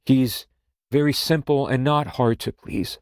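A man hosting a podcast, talking in a gentle, reflective way with lots of "you know" fillers. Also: medium pitch, unhurried, clear, resonant tone, no echo, studio quality. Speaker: podcast host. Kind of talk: reflective spoken talk.

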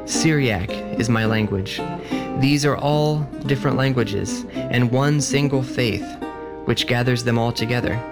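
A man's voice: mid-range voice, measured pace. A man giving a scripted devotional reading as a radio reader. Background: plucked guitar music.

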